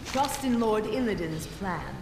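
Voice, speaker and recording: An actress playing a resolute elven demon hunter in a game, urgent and martial. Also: female voice, mid-range voice, very animated, a little slow, gravelly, noisy background. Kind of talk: game dialogue acting. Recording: echoey reverb under game music.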